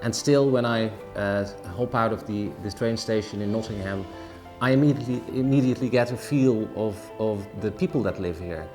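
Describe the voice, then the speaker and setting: Thoughtful guy in an interview, stops and restarts a lot, music behind. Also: on the low side. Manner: halting, thoughtful, repeats words. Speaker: delegate.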